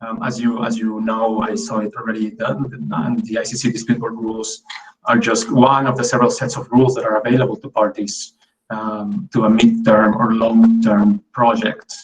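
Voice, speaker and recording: A man's voice: lowish voice, little pitch movement, normal speed, far from the mic, rough recording. A man from Peru giving an online academic talk in English, hesitant with many fillers and restarts. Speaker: guest lecturer.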